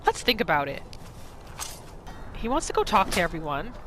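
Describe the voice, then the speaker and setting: A woman narrating a role-play gaming video, musing aloud about her character in an expressive, questioning way. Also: fairly low pitch, normal speed, noisy background.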